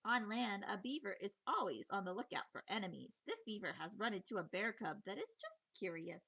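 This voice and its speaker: A woman teacher reading a children's nature book aloud in an expressive, playful storytelling manner, with chatty asides. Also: mid-range voice, brisk, gravelly, slightly rough, muffled recording.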